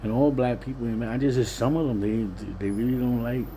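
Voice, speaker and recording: A man's voice: mid-range voice, steady tone, brisk, dark tone, slight background noise. A plain-spoken, streetwise Puerto Rican man giving a casual, rambling, repetitive monologue. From Puerto Rican, living in Pennsylvania, USA. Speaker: vlogger.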